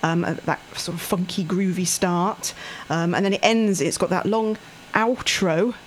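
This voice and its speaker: A chatty woman co-hosts a relaxed talk show. She laughs easily and breezes through local recommendations. Faint background noise sits under her voice. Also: fairly low pitch, a little slow. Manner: chatty, laughs often, reads out listings. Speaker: co-host. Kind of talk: casual radio chat.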